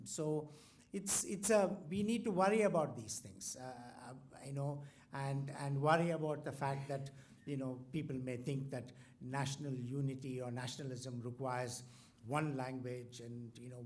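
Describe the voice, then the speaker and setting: A man's voice: mid-range voice, a little mellow. A man from India, a native Tamil speaker, introducing a commencement lecturer in a formal speech full of ums and restarts. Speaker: university host introducing a lecturer. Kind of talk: formal speech with many fillers.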